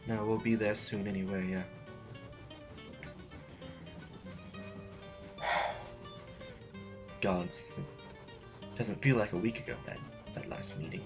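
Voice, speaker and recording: An actor playing a fussy, timid, snobbish aristocratic politician in an audio drama, wordy and pompous with a stammer. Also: male voice, on the low side, measured pace, vocal fry, long pauses, over music. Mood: dejected.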